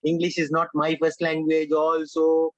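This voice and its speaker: A man from Sri Lanka who speaks English as a second language, leading a speech-writing workshop with animated emphasis and frequent direct address to his audience. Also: a little high, a little slow, no echo, muffled recording. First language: Sinhala or Tamil. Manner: animated, emphatic, repeats "my dear friends". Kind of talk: online workshop lecture.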